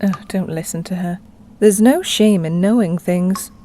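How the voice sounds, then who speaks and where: A woman playing a kindly, learned explorer in an audio drama, speaking in a steady, gentle manner. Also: on the low side, a little slow, a little hiss or hum, natural voice.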